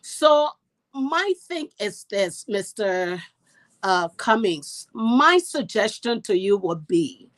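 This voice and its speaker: Liberian woman making a slow earnest plea, dry close sound. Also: medium pitch, sing-song, no room sound. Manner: pleading, repeats phrases for emphasis. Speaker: panelist giving advice. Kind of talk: earnest appeal in a panel discussion.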